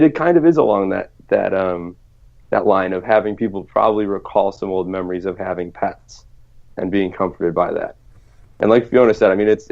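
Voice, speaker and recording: A man with a lowish voice talks through his answers in a relaxed, rambling way, full of fillers. He is a startup co-founder guest. The sound is dull and lo-fi. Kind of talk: casual interview answers.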